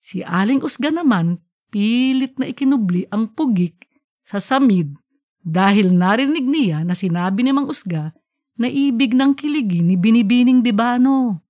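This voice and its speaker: A woman's voice: a little high, lively intonation, moderate pace, darkish tone. A woman narrating scripted fiction as the storyteller of an audiobook podcast.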